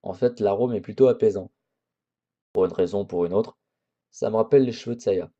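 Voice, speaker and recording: A man's voice: on the low side, a little fast, dark tone, lo-fi sound. A man reading as a troubled young protagonist. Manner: plain reading of game text. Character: young male protagonist, troubled inner narration.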